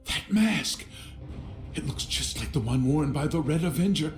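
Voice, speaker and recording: An actor playing a frightened, overdramatic guard in a video game, speaking in awestruck tones. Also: male voice, fairly high pitch, expressive, moderate pace. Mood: alarmed, awed. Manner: dramatic, awestruck. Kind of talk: video game character acting. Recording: roomy sound with faint music.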